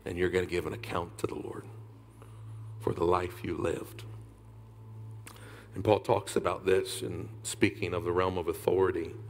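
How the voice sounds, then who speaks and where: A man in his thirties preaching a sermon on a large church stage, swinging from loud calls to hushed whispers and calling on the crowd to answer back. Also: deep voice, little pitch movement, moderate pace, pauses often, slight background noise. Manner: preaching with sudden whispered drops and loud rises. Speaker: pastor.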